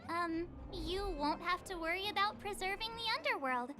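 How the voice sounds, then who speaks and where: A bubbly teenage adventurer with a very high voice. She is outspoken and casual, in game dialogue with music underneath. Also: lively intonation, moderate pace. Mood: upbeat.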